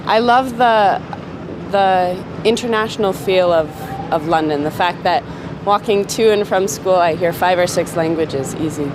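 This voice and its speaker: A woman who is a drama student, answering in a street interview with halting, restarted phrases. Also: lowish voice. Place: city street outdoors. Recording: outdoors with street noise.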